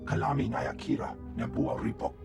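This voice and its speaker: A man playing a gruff alien officer in a video game, barking choppy phrases in an invented guttural language. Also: low voice, huge pitch swings, moderate pace, loud music behind the voice, heavily acted. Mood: gruff, urgent.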